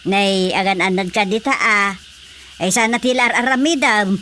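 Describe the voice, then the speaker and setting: A woman's voice: mid-range voice, normal speed, sharp tone, slight background noise, close to an everyday voice. A woman with an Ilocano accent playing a fretful, chatty village mother and wife in a radio drama. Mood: nagging, teasing.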